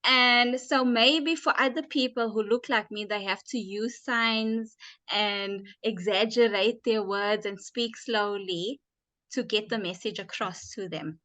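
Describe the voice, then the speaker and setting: Woman guest, doctor, bright and tense tone, measured explanations, dry close sound. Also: medium pitch, no room sound. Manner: measured, thoughtful, explains with examples. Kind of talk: explanatory interview answers.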